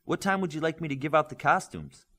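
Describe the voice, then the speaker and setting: A man's voice: on the high side, quick pace, a bit bright, pitched above the performer's own voice. A man voicing a brainy, needling young female superhero student in an audiobook. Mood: eager.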